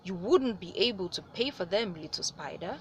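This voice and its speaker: A woman's voice: medium pitch, expressive, moderate pace, noisy background, close to an everyday voice. A woman playing a haughty, mocking male sky god in a storybook, speaking in a grand, dismissive way.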